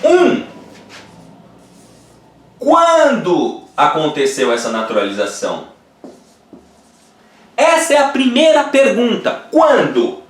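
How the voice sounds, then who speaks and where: A man's voice: very high-pitched, huge pitch swings, takes it slowly, long pauses, roomy sound. A man in his forties from Brazil, teaching an online course at a whiteboard and often checking that the viewer is following. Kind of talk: explaining at a whiteboard. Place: indoor room with a whiteboard.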